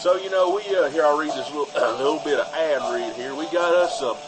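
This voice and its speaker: A man's voice: a little high, fast, close to an everyday voice, rough recording. A man playing a folksy, rambling used-car salesman with a Southern US accent in a comic radio ad. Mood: folksy sales pitch. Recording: loud music bed behind the voice. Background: loud music.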